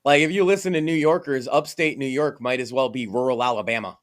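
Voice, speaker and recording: A man's voice: mid-range voice, brisk, fairly bright tone, slight background noise. A man from Massachusetts co-hosting a podcast, talking casually, with a profane, emphatic and opinionated manner. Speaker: podcast co-host.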